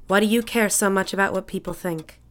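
A woman's voice: medium pitch, fairly even intonation, fairly quick, a bit bright, natural voice. A woman playing a nervous, prickly, reclusive housewife in a period audio drama, blunt and guarded. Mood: defiant.

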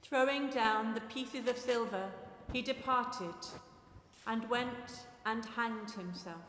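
A woman's voice: a little high, slow, a bit bright. Woman narrating scripture in very echoey hall. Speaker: Gospel narrator. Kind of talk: solemn dramatic scripture narration. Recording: very echoey hall, faint noise.

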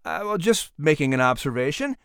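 Animated man narrating and hosting. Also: on the high side, brisk, smooth, no echo, studio quality. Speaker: host and narrator.

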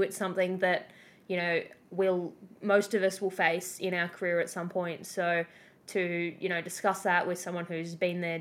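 A woman co-hosting a podcast, chatty and teasing, talking in quick bursts. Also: lowish voice. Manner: bubbly and teasing, fast bursts. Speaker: podcast co-host. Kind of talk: casual chat.

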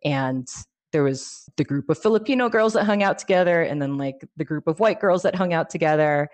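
A woman's voice: sits low, brisk, a little mellow. A young adult woman from California, a life coach guest on a podcast, telling her story casually with frequent laughs and fillers. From California, USA. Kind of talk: casual interview conversation.

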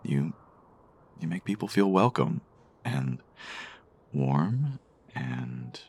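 Romantic radio play lead: male actor playing a smitten, hesitant man, very low and husky, tender delivery. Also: sing-song, full character voice. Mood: earnest, admiring. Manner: halting, hesitant, soft-spoken. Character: shy, smitten male stranger, tender and complimentary. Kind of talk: intimate audio romance acting.

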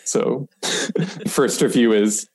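A man's voice: medium pitch, drawn-out words, breathy edge. A man chatting informally as a podcast guest, explaining and reading out reviews with light amusement.

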